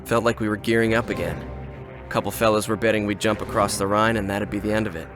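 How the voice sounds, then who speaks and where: A man's voice: on the low side, level tone, fast, lightly acted. A man voicing a weary WWII paratrooper narrator. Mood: reflective. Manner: plainspoken, wry, weary. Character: American WWII paratrooper recalling the war. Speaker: soldier narrator. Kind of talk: game cutscene narration. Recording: over loud music.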